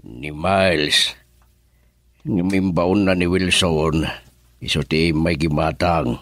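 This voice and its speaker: An older rural father in an Ilocano radio drama, extremely deep and slow. He is calm and matter-of-fact, with an Ilocano Filipino accent. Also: dry recording, clean studio sound.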